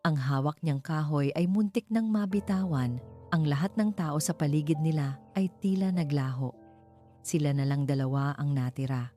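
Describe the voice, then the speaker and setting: A woman's voice: deep voice, dark tone. A woman reading a scripted story as its narrator, over background music. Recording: clear music bed under narration.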